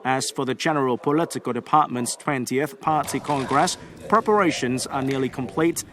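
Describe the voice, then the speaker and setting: A man reading scripted news in a formal, measured way as a presenter. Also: medium pitch, fairly bright tone.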